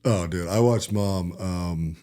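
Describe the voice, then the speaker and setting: Super deep voiced guy hosting a podcast, easygoing and jokey. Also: slow pace, warm tone. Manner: laid-back, joking, asks questions. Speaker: podcast host. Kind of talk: casual family interview.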